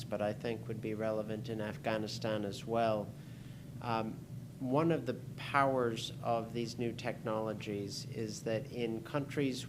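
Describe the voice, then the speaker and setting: Slow, deliberate panelist. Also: mid-range voice. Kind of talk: panel discussion answer. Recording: noisy event hall recording.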